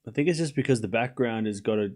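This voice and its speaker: A young Australian man talks loosely on his live stream, his voice set in an ordinary middle register. He drifts between quick calls of the tennis and easygoing answers to chat, swearing now and then. The talk rambles, with the restarts of someone speaking off the cuff. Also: medium pitch. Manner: relaxed and rambling, reads chat aloud and answers it, swears casually.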